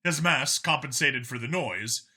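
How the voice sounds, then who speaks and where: A man's voice: medium pitch, measured pace, sharp tone. A man reading a scripted science fiction story aloud as a dramatic audiobook narrator.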